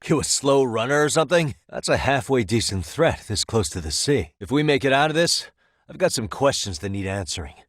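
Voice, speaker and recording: A man acting a determined, streetwise private detective in a video game, with an American accent and a measured, probing, bluntly persuasive way of talking. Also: medium pitch, animated, no echo, clean studio sound, close to an everyday voice. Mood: urgent, strained.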